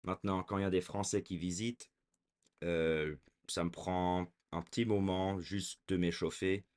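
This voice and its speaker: A man's voice: sits low, level tone, moderate pace, a little mellow, clear, resonant tone. A man in his twenties talking casually to a camera, hesitant, with frequent fillers and restarts. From raised in America. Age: 20s. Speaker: vlogger.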